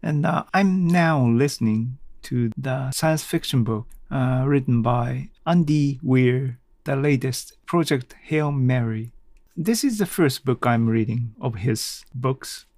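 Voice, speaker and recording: A man's voice: medium pitch, slow, a little mellow, no echo, studio quality. A man hosting a casual solo podcast in an audio-blog style, speaking hesitantly and searching for his words. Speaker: podcast host.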